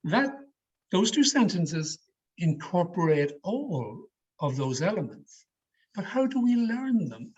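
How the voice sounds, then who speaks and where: Husky, higher-pitched man teaching public speaking. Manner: deliberate, emphatic, with demonstrative vocal variety. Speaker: speech trainer giving an educational session. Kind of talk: online workshop presentation on public speaking. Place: online video call. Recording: slightly muffled, lo-fi video call audio.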